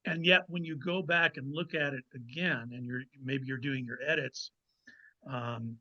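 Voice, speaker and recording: A man's voice: mid-range voice, steady tone, moderate pace, bright, forceful tone. A man speaking as an author guest in casual podcast conversation, on a slightly lo-fi recording. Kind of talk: casual interview chat. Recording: slightly dull, lo-fi audio.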